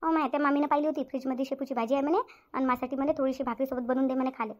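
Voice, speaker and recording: A woman's voice: high voice, fairly even intonation, very fast, lo-fi sound, lightly acted. A woman voicing a harried, dutiful elder sister-in-law in a Marathi cartoon, resigned and chatty, with a rural Varhadi accent. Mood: dutiful, accommodating. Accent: rural Maharashtra, Varhadi Marathi. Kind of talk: domestic cartoon story dialogue.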